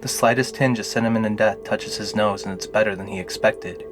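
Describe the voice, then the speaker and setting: A man's voice: on the low side, steady tone, brisk. A man narrating a scripted fantasy audio drama in the role of storyteller. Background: music under the narration.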